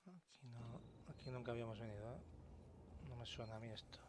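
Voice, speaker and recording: A man's voice: medium pitch, steady tone, a little fast. A man with an accent from Spain giving casual gameplay commentary, thinking aloud and swearing as he goes. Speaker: gamer narrating a let's play. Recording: clear game noise behind voice. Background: video game ambience.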